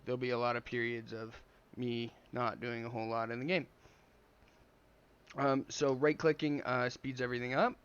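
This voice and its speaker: A man with a slight cold giving relaxed, rambling gameplay commentary over a strategy game, full of ums and uhs. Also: medium pitch, slight background noise. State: has a slight cold, sniffling. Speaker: gameplay video creator. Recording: dull lo-fi audio.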